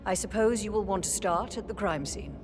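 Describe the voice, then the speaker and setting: A woman's voice: medium pitch, brisk, over music, close to an everyday voice. Imperious older English lady played by an actress. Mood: stern, commanding. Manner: commanding, curt, formal. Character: imperious, stern older aristocratic matriarch.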